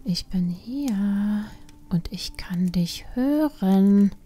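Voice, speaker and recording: A woman's voice: medium pitch, animated, drawn-out words, mellow, breathy edge, exaggerated voice. A woman voicing a defiant, adventurous young panda girl in a fantasy audiobook, sulky and protesting. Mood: sulky, sarcastic. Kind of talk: acted fantasy audiobook dialogue.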